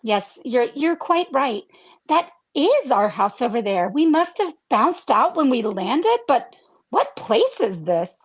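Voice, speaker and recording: A woman playing an anxious, earnest farm girl in a comedic radio play, speaking in breathless, halting, repeated phrases. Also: high-pitched, sing-song, slightly rough, lo-fi sound, exaggerated voice. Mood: bewildered.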